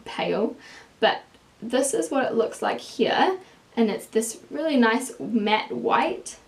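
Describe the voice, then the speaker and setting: Chatty young New Zealand woman, bright. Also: a little high. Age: young adult. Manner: chatty, explains product swatches while holding up palettes. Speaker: beauty vlogger. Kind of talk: casual makeup review to camera. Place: indoors, small room with plain wall.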